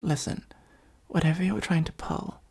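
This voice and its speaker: A woman playing a possessive supervillain who is secretly fond of a hero, in an intimate ASMR roleplay, speaking softly and breathily with an earnest, gently wry delivery. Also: lowish voice, fairly even intonation, moderate pace. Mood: wry, dismissive. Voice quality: soft, husky, breathy, often near whisper.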